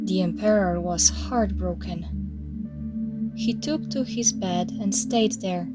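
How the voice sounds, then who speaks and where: A woman reads a children's story aloud at a slow, measured pace. Her delivery is expressive and scripted, made for listeners following a picture book. Music plays clearly behind her voice. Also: mid-range voice. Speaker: storybook reader.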